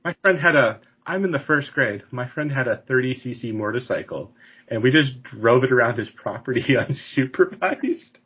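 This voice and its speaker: Husky-voiced man in his forties. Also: mid-range voice, animated, normal speed. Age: 40s. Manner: rambling, laughs often, many restarts. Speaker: podcast host. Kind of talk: casual interview chat. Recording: dull lo-fi sound.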